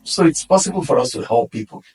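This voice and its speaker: A man's voice: fairly high pitch, lively intonation, fast talker, darkish tone, recorded from a distance, low-quality recording. A Nigerian man, founder of a podcast studio, earnestly urging listeners to speak up in a casual interview. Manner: earnest, exhorting.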